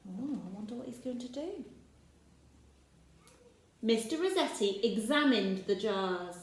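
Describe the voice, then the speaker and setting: Teacher reading to young children: unhurried, animated, a little high, in an echoey room. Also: female voice. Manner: expressive, slow, pointing out pictures and asking the listener questions. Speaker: teacher reading a picture book. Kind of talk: reading a picture book aloud to children.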